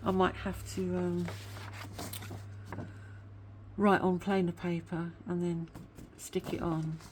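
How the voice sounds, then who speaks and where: A woman with a somewhat low voice talks through her craft work at home in a loose, rambling way. A sore tooth gives her voice a slightly whiny edge, and a faint hum sits underneath. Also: little pitch movement, takes it slowly, a little mellow. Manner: chatty, rambling, admits to waffling. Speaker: craft vlogger. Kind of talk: casual craft show-and-tell chat.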